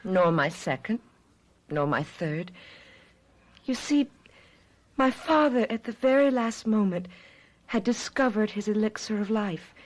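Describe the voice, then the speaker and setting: An actress playing an earnest, world-weary immortal young woman in a radio drama, speaking in a deliberate, dramatic way. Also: medium pitch, lively intonation. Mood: wistful, recounting.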